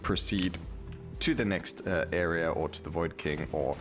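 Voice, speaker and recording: A man's voice: deep voice, measured pace. A man giving casual gameplay commentary as a video creator, talking over game audio. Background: game music.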